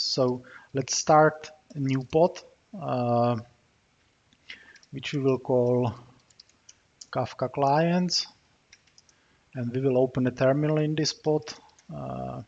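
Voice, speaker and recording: A man's voice: mid-range voice, slow pace, gravelly, long pauses. Man, Czech accent, screencast tutorial, typing while narrating, muffled audio. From Czech Republic. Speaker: software developer demoing a tool. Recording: slightly dull, muffled recording with keyboard typing.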